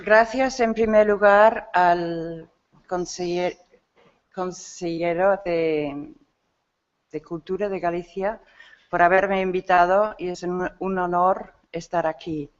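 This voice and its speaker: Slow, halting woman lecturing, slightly muffled. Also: on the low side, steady tone. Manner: halting, searching for words with self-corrections. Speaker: academic speaker at a symposium. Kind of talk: conference lecture. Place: conference hall.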